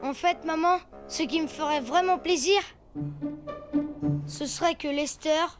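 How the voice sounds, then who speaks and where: A woman's voice: high voice, a little slow, over music. A woman voicing a polite, well-meaning young child in a cartoon, in an earnest, childlike manner. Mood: friendly, eager. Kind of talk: animated cartoon voice acting.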